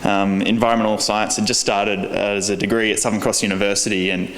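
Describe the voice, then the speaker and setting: A young Australian man in his early twenties gives a conference keynote in an earnest, halting way, with many fillers and restarts. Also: lowish voice, little pitch movement, a bit bright, slight background noise. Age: young adult. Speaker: keynote speaker. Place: large convention hall. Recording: echoey convention hall.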